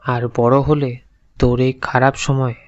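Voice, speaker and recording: A man's voice: mid-range voice, level tone, measured pace, no echo, muffled recording, natural voice. A man playing a blunt, all-knowing wandering holy man in a cartoon moral tale, solemn and commanding. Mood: solemn, assured.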